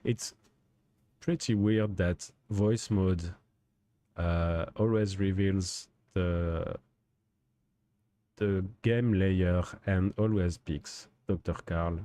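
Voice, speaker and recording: Man, livestream host, hesitant, halting, slow, low voice. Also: steady tone, mellow, no echo. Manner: hesitant, halting, many fillers. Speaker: host testing a chatbot. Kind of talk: casual livestream talk.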